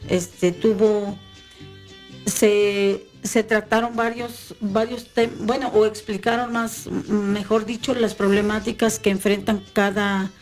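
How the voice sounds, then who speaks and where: Brief: hesitant woman in casual talk, soft music underneath. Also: mid-range voice, flat delivery, slow. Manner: hesitant, many fillers and restarts. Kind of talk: casual conversation.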